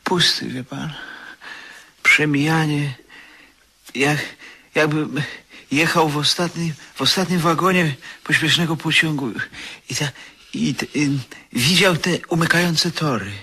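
An actor playing a broken, drunken man obsessed with his lost wife, speaking in halting, repetitive, anguished bursts in a radio drama. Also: on the high side, pushed and bright, breathy edge, heavily acted. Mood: maudlin, desperate. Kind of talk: 1970s Polish radio drama acting.

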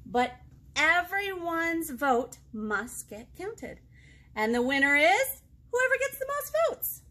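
Woman, thirties, American accent, high-pitched, children's storytime host, expressive, simple phrasing for little kids. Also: takes it slowly. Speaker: children's librarian hosting storytime. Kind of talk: children's storytime read-aloud and chat. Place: small indoor room, seated before a book display.

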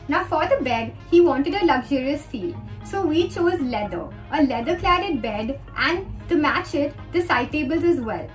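Young woman, interior designer, upbeat explainer, faint background music. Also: high voice. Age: young adult. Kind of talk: presenting a design tour. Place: green-screen studio, indoors. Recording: distant mic with background music.

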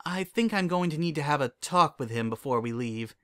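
Young female pony student male reader acting: pitched up, earnest, anxious, unsure of herself, storybook dialogue. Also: animated, a little fast, a bit bright, no echo, studio quality. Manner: earnest, self-doubting.

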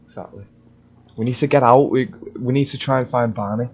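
A man's voice: mid-range voice, a little fast, husky, lo-fi sound. A young man chatting casually as he improvises through a game roleplay. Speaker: gamer in roleplay series.

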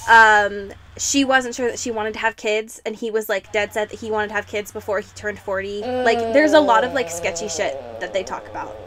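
A woman's voice: mid-range voice, brisk, few pauses, fairly bright tone. A young woman hosting a podcast in a casual, sweary way, peppering her talk with "like". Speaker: podcast host.

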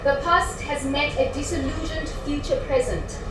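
A woman with a South African accent delivers spoken-word poetry in a high voice, deliberate and dramatic. She leans on key words and leaves pauses between phrases. The recording is very echoey, with clear noise around her. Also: fairly even intonation. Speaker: poet performer. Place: live event in an echoey indoor venue. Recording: echoey room, distant mic, clear background noise.

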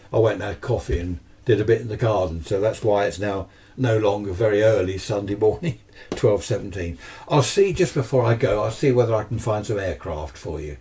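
A man's voice: fairly low pitch, warm tone. A man, chatting casually and wryly through a rambling product review. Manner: rambling, wry asides, self-mocking. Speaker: presenter of a radio workshop channel. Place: small cluttered indoor radio workshop.

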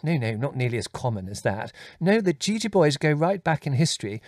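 Man doing a flustery vague mum, voice pushed up high. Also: big pitch swings, fairly quick, no room sound, clean studio sound. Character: flustered, vague older mother.

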